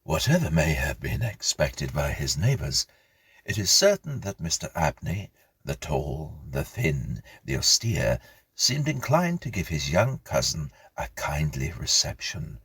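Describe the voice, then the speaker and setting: Deep, gravelly male ghost story narrator. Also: no echo. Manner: measured, expressive storytelling. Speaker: audiobook narrator.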